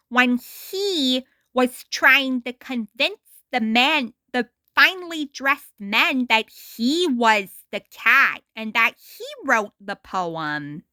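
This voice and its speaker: A woman reading a story aloud as an amateur storyteller, deliberate and expressive. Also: sits high, no echo. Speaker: amateur storyteller reading their own adaptation. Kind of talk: storybook read-aloud narration.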